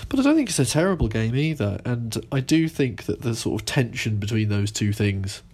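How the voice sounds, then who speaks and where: A man's voice: mid-range voice, very animated, fairly quick, darkish tone, breathy edge. A man co-hosting a podcast, chatting informally about video games and qualifying his opinions as he goes. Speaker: podcast host, game reviewer.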